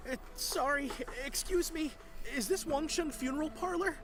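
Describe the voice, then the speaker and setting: A woman's voice: high-pitched, moderate pace, fairly bright tone, faint music behind. A woman voicing an anxious, pleading young townsperson in a fantasy video game, earnest and nervous.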